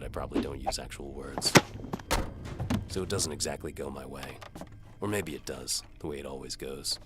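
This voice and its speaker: A man playing a sardonic, world-weary medieval bow for hire, narrating in a wry deadpan as scripted audio drama. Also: very deep voice, heavy background noise. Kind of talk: first-person scripted narration, hard-boiled audio drama.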